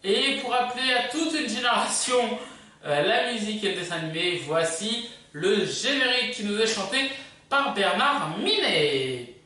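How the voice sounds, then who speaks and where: A man's voice: high-pitched, lively intonation, normal speed. A man hosting a video channel, chatting casually and animatedly to viewers as he presents a countdown. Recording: echoey room, distant microphone.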